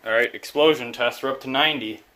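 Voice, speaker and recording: A man giving casual, unscripted commentary as he repairs a vintage radio, thinking aloud in a halting, musing way. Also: mid-range voice, sharp tone.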